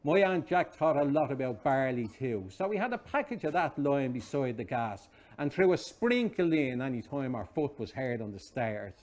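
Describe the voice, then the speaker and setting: A man in his fifties with a Dublin Irish accent reads a literary story aloud, expressively and wryly, with deliberate comic timing. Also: mid-range voice, expressive, a little mellow. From Dublin, Ireland. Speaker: reader of a short story. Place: small wood-panelled pub snug, indoors.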